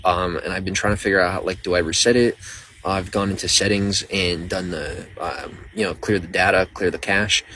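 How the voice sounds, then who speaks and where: A young man with a deep yet bright, forward voice rambles on his live stream, greeting viewers by name and reading out their comments. He talks loosely, with plenty of fillers, into a phone mic. A faint hiss sits under his voice. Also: steady tone, normal speed, low-quality recording. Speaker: livestreamer. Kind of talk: casual live-stream chat.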